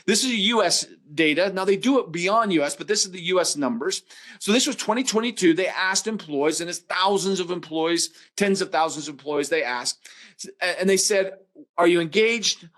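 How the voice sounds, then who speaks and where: Male corporate trainer, middle-aged, slightly high voice, explanatory webinar delivery with rhetorical questions. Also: fairly bright tone. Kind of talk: business webinar presentation.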